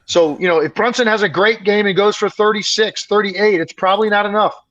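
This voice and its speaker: A male basketball analyst co-hosting a podcast, his voice strained and raspy from a heavy week of talking, breaking the game down emphatically. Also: sits high, fairly even intonation, fairly quick, fairly bright tone, highs cut off. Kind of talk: casual sports podcast analysis.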